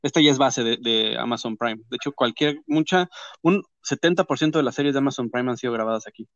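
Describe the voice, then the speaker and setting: A man with a Mexican accent hosting a casual chat and interviewing guests, slangy and talkative with quick asides. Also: medium pitch, a bit bright, no echo, highs cut off. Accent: Mexican Spanish. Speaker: host.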